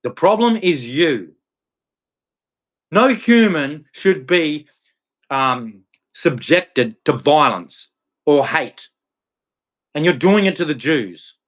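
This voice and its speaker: A man's voice: on the high side, animated, slow pace, pauses often. Blunt Australian man ranting. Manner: blunt, confrontational; preachy religious sign-off. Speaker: live streamer. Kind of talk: ranting monologue to chat.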